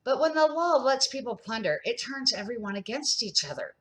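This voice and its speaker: A woman's voice: fairly high pitch, sing-song, fairly quick, fairly bright tone, pitched above the performer's own voice. A woman reading a children's book as a kindly, earnest man who instructs two children with emphasis. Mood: earnest, instructive. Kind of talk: children's picture-book read-aloud.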